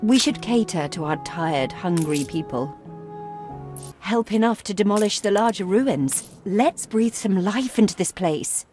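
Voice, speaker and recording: A woman playing a proud, sharp-tongued, brisk young gentlewoman in a video game, speaking in a crisp, formal manner. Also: medium pitch, animated, over music, close to an everyday voice. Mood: determined, wistful. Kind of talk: video game character dialogue.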